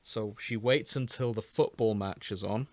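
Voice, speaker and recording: A man's voice: fairly low pitch, normal speed, dry recording. Explanatory man with a dry, close sound. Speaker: podcast host. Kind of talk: casual chat, explaining a show's plot.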